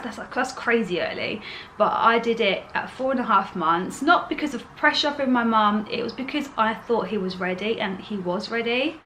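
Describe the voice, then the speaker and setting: A young mum talks straight to her viewers in a chatty, earnest way, circling back and repeating her points. Her throat is a little sore, and her voice sits at a mid pitch. A faint hum of room ambience runs underneath. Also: a bit bright, low-quality recording. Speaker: parenting vlogger. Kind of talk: casual advice vlog to camera.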